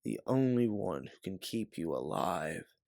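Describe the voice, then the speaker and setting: A man's voice: low-pitched, very animated, a little slow, creaky, a little mellow, no echo, clean studio sound, exaggerated voice. A man voicing a masked, capable male rescuer, coolly matter-of-fact, in an audiobook story. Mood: cool, urgent.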